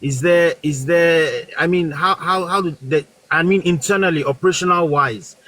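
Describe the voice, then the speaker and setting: A man's voice: fairly high pitch, moderate pace, bright, forceful tone, slight background noise. A man in a live debate, talking in a sarcastic, mock-questioning, lecturing way. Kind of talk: heated live audio debate.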